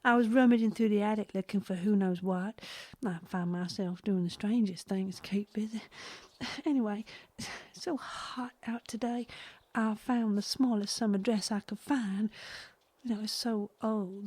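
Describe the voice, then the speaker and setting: A woman playing a flirty frontier wife and mother in a comic western audio drama, given to breathy asides and sighs. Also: medium pitch, steady tone, moderate pace, darkish tone, no room sound, lightly acted. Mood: sultry, flirty. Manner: breathy asides, sighing, gushing.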